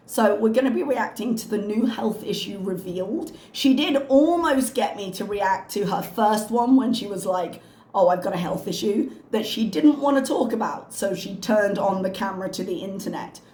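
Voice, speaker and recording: A woman with a hoarse, slightly strained voice, chatting casually and wryly as a reaction channel host. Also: medium pitch, darkish tone, echoey room. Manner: chatty, wry, self-mocking. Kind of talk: casual chat to camera.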